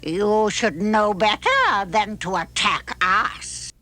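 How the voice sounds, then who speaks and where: A woman voicing a threatening female android villain from Mars in a puppet show, with drawn-out delivery. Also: mid-range voice, big pitch swings, sharp tone, full character voice. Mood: menacing, haughty.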